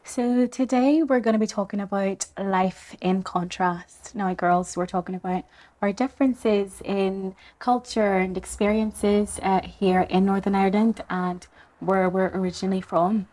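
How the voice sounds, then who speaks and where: A woman from Zimbabwe hosts a relaxed podcast conversation. She leads it by asking the guests questions, in a voice of middling pitch. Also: unhurried. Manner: guiding, asks questions. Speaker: podcast host. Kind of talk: casual chat.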